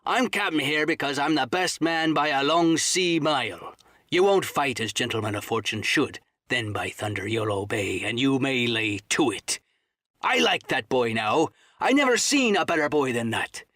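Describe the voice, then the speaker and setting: A man voicing a cunning, commanding old pirate sea cook who drawls threats in rough seafaring dialect, as in an audiobook. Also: mid-range voice, expressive, normal speed, a bit bright, no room sound, natural voice. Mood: roaring defiance. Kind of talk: dramatised audiobook reading.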